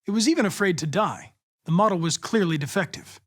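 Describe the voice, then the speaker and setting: A young man acting as a polite, analytical android police investigator in a video game, speaking in a precise, formal, measured way. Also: high-pitched, expressive. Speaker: android detective.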